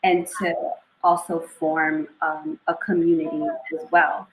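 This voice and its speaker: A young woman poet and author talking in a casual interview, thoughtful and unhurried, with many pauses. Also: low-pitched, level tone, echoey room, a crowd in the background, low-quality recording. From Eritrea and northern Ethiopia (family).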